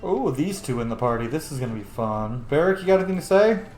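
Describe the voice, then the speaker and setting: A man's voice: mid-range voice, sing-song, fast, a little mellow. A man giving casual let's-play commentary, thinking aloud in an informal, sweary way. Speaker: gaming streamer. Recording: roomy echo, faint game music. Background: game music.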